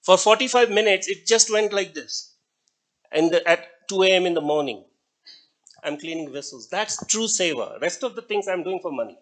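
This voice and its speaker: Lively Indian man lecturing a class. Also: sits high, normal speed. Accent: Indian English. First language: Hindi. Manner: asks the class questions, chuckles between points.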